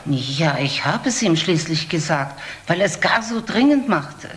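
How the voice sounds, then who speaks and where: A woman's voice: low voice, lively intonation, measured pace. Earnest woman receptionist, roomy sound. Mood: earnest, frank. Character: frank, earnest female doctor's receptionist. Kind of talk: German crime radio drama acting. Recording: roomy audio drama, faint noise.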